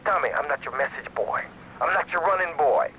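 A man's voice: a little high, a little fast, sharp tone, slight background noise, rough recording. A man voicing a volatile, resentful criminal partner in a video game, whiny and emotional.

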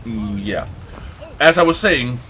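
A man speaking English as a second language hosts a casual game playthrough. His voice is bright and forward, and he often stalls to search for a word, tossing in mild swearing. There is faint noise behind him. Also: mid-range voice, lively intonation, takes it slowly, low-quality recording. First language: not English. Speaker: let's play host. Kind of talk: casual gameplay commentary.

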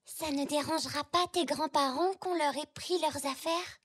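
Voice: sits high, normal speed. A performer voicing a sweet, helpful young zebra girl in a children's cartoon, with a childlike manner. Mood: curious. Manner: bright, childlike. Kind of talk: French children's cartoon dialogue.

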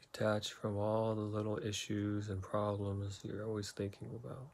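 Guy doing guided meditation, kinda low voice, pretty even tone, slow soothing instructions. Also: creaky.

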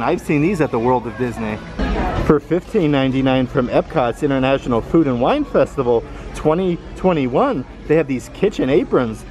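A man's voice: mid-range voice, rough recording. Upbeat man touring a store. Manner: upbeat, reads out prices, frequent "guys" and "look at that". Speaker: vlogger. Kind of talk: casual shopping vlog walkthrough. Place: indoor retail store.

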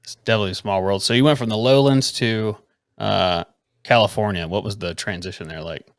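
A man with a lowish voice hosts a relaxed interview, asking friendly, easygoing questions. His voice is close and dry, picked up by a headset mic on a video call. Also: brisk, no room sound. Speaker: podcast co-host. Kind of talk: casual interview chat.

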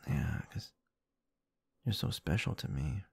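A man doing intimate roleplay ASMR, murmuring softly and affectionately in a soothing, breathy way close to the microphone. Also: takes it slowly, no echo. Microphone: close-up microphone, dry.

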